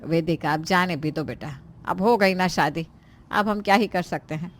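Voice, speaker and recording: A woman's voice: fairly low pitch, moderate pace, close to an everyday voice. A woman playing a worried, resigned mother of grown children in a cartoon moral story, fretful and conciliatory. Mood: soothing, resigned.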